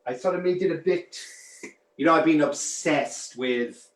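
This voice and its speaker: A man's voice: a little high, moderate pace, reverberant, low-quality recording. A man with a Welsh accent co-hosting a casual live wig demo over a video call, chatty and friendly, calling viewers "my love". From Wales.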